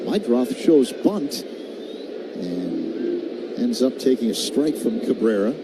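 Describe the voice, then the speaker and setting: A man's voice: medium pitch, sing-song, takes it slowly. A man doing live radio play-by-play of a baseball game in clipped, descriptive phrases. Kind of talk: live radio sports commentary. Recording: loud ambient ballpark noise behind.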